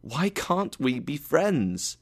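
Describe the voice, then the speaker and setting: A man voicing a cheerful, warm-hearted young nephew in a storybook tale, earnest and eager. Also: mid-range voice, very animated, normal speed, natural voice. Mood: warm, regretful.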